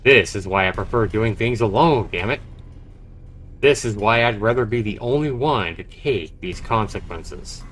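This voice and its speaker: A man's voice: fairly low pitch, lively intonation, moderate pace, fairly bright tone, a little hiss or hum. A man livestreaming a visual novel, reading the text aloud dramatically and reacting expressively as he comments. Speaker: game streamer. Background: game music.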